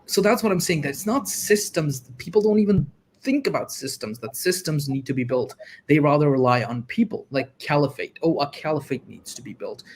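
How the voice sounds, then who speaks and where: A man joining a livestream as a guest, arguing his points quickly and emphatically in casual discussion. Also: fairly high pitch, animated, husky.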